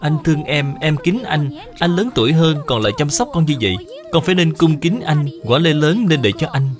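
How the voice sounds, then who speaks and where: A performer voicing a polite, dutiful little boy in an animated moral tale, speaking respectfully and earnestly. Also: mid-range voice, fairly quick, faint music behind, natural voice. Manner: respectful, earnest, carefully explaining.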